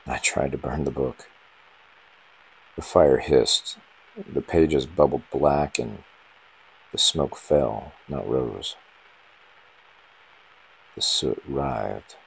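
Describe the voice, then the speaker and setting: Very deep, gravelly male horror narrator. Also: rough recording. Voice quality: gravelly, husky. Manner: slow, ominous, short dramatic phrases. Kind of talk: scripted first-person horror narration.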